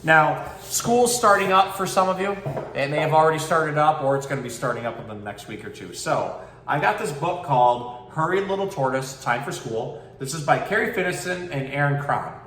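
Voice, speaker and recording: A man with a fairly high, very animated voice talks like an educational presenter. His delivery is casual and explanatory. He is recorded away from the mic in an echoey room. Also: moderate pace. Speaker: zookeeper presenter. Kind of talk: casual educational talk and picture book read-aloud. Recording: roomy, distant mic, faint background noise.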